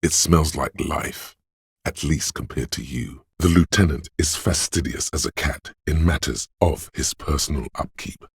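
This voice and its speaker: A gravelly inner sense of smell. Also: male voice, no echo, full character voice. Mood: wry. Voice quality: deep gravelly husky voice. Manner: slow, sardonic, lingering delivery. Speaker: voice actor for game narration. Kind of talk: scripted game voice lines. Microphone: close studio mic.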